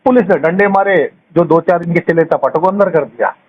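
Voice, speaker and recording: A man's voice: fairly high pitch, a little fast. A man talking informally on the phone, giving a long accusatory account in a blunt, scornful, colloquial way with rhetorical questions. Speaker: caller giving his account. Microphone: telephone. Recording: muffled phone call recording, clear noise.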